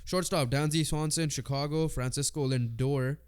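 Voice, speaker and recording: A man's voice: on the high side, fairly even intonation, smooth. A congested man co-hosting a casual sports podcast, reading off lists with lots of fillers. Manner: reads off lists haltingly with fillers. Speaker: podcast co-host.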